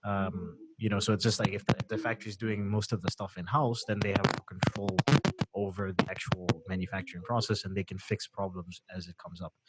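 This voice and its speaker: Low-voiced man hosting a casual live stream. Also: a little fast, very noisy. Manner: relaxed, reads out viewer comments, many fillers. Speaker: host of a sourcing show.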